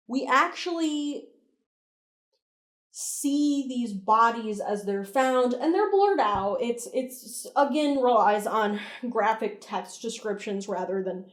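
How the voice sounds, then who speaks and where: A woman's voice: fairly high pitch, takes it slowly, roomy sound. A woman hosting a video review, talking in a conversational, opinionated and emphatic way with casual swearing. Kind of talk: casual commentary, breakdown analysis.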